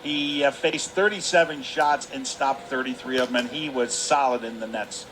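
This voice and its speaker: A man's voice: medium pitch, steady tone, normal speed. A man giving conversational color commentary at a hockey game, repeating phrases for emphasis. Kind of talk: conversational sports analysis. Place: ice hockey arena. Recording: faint arena noise behind the voice.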